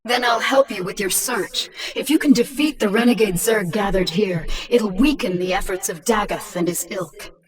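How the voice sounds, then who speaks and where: A woman voice acting a cunning, commanding infested queen in a video game, with a cool, measured, persuasive delivery. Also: mid-range voice, recorded from a distance, soft background music, lightly acted. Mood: smooth persuasion. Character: cunning, commanding infested alien queen, former mindless killer.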